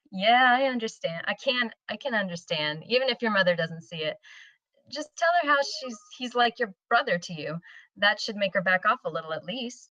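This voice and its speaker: A woman's voice: medium pitch, expressive, moderate pace, pushed and bright, dry recording. A woman playing a protective, teasing aunt at a screenplay table read. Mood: teasing, affectionate. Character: teasing, protective aunt who raised her niece. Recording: slightly dull, lo-fi microphone sound.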